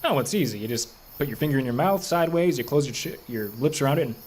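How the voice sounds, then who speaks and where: A man's voice: mid-range voice, expressive, fast, noisy background, close to an everyday voice. An actor playing a skeptical, weary lawman in an audio horror drama, with a dry, dismissive way of talking. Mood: wry, dismissive.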